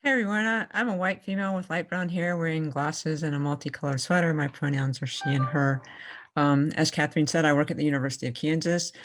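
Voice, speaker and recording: A woman's voice: sits low, steady tone, fast. A woman university researcher introducing herself on a panel in a matter-of-fact way.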